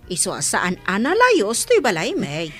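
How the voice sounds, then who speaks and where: A woman's voice: medium pitch, huge pitch swings, measured pace, faint music behind. A woman with an Ilocano accent playing a chatty, complaining village neighbour in a comedy radio drama. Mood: chatty, sympathetic.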